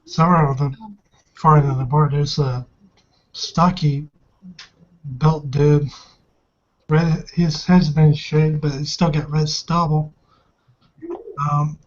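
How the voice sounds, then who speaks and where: A man's voice: fairly high pitch, a little mellow. A man in a tabletop roleplaying session, casually describing characters and discussing the game. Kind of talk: casual improvised game narration. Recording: muffled lo-fi voice recording.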